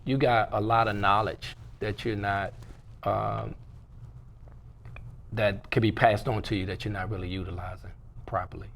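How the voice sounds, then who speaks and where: A man's voice: on the low side, little pitch movement, moderate pace, gravelly, pauses often, fairly bright tone, slight background noise. A man talking casually as an interview guest, repeating phrases for emphasis. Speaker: interview guest, stage play producer and entrepreneur.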